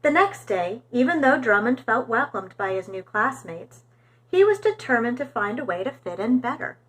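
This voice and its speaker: A woman reading a storybook aloud for young children in an expressive, animated storytelling manner. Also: a little high, normal speed, low-quality recording.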